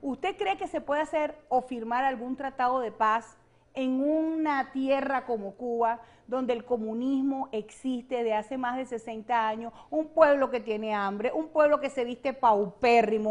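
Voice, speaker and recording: A woman's voice: on the high side, unhurried, darkish tone. A Venezuelan woman, a political analyst and guest, speaking with passion and emphatic repetition in a studio interview. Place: television studio set.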